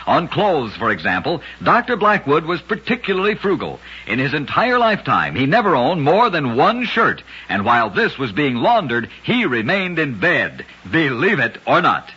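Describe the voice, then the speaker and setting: A man tells strange tales in the style of a vintage radio host, with a very raspy, forceful voice. His delivery is emphatic and dramatic, swinging widely in pitch. The recording is dull and old. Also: wildly swooping pitch, moderate pace. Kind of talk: scripted vintage radio storytelling of odd facts.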